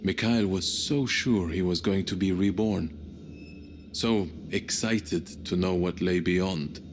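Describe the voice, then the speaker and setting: An actor playing a reflective, hopeful widowed space crewman in a cinematic game, speaking with restraint in a low gravelly voice. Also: takes it slowly, background noise. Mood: calm, reflective. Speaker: video game character, spacecraft crew member.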